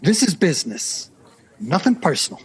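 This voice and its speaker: A high, lively voice plays a brash businessman in a 1960s radio drama. He is pushy and sneering, brushing people aside for money, with wide swings in pitch. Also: normal speed, slightly rough, heavily acted. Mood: dismissive, pushy. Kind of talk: audio drama acting.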